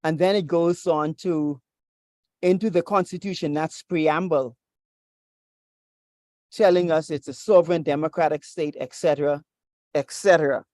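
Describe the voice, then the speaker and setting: A preacher from the Bahamas, teaching in a measured, deliberate way over a video call. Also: medium pitch, steady tone, a little mellow, dry recording, clean studio sound. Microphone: video call with screen share.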